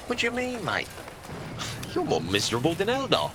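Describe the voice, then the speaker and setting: An easygoing wartime construction worker for an audio drama. His matey, colloquial talk rises and falls with a lot of life. Clear outdoor work-site noise sits behind him. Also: sits high, wildly swooping pitch, brisk. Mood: puzzled. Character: upbeat, easygoing male Norwegian railway construction worker in wartime. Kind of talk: naturalistic radio drama acting.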